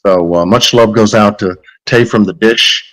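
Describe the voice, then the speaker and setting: Male creator, low-ish hoarse voice from a cold, halting delivery. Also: fairly bright tone, rough recording. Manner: halting, with many "uh" fillers. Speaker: content creator giving an update. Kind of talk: casual spoken update.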